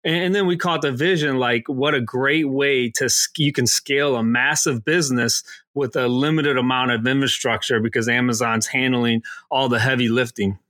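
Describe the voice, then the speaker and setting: A middle-aged man from Illinois answering interview questions in a relaxed, easygoing way. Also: medium pitch, normal speed, dry recording, clean studio sound. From Aurora, Illinois. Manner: relaxed, easygoing, laughs along. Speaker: guest, Amazon seller.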